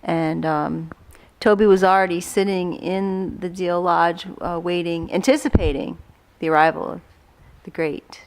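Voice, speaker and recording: A woman's voice: on the low side, fairly even intonation, unhurried. A woman telling a story casually in an interview, informal and teasing.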